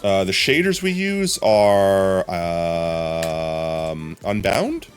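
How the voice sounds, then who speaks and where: Hoarse guy streaming, chats to his viewers nonstop, low voice but swings up and down a lot, faint music behind. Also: sing-song, extremely slow. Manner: chatty, rambling, often addressing chat. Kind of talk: casual live-stream chat to viewers.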